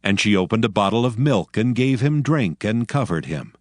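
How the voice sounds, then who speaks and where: A man narrating a dramatized scripture in archaic English, with solemn, measured storytelling. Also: fairly low pitch, animated, no room sound, studio quality.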